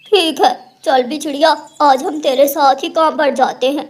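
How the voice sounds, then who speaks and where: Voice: sits high, steady tone, moderate pace. An actor voicing a lazy, grumbling male crow husband in a cartoon. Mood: complaining.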